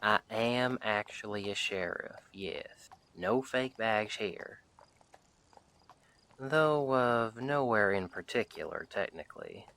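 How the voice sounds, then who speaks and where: A man playing a friendly wandering Western sheriff in an audio roleplay, chatting to the listener in a folksy, wry, unhurried way. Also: lowish voice, expressive, a bit bright, clear, resonant tone, full character voice. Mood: wry, chatty. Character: friendly wandering Western sheriff, easygoing drifter, dryly humorous.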